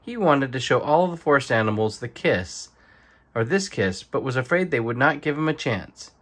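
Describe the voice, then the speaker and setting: A man reading a children's bedtime story aloud in an expressive narrating style. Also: medium pitch, moderate pace. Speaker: storybook reader.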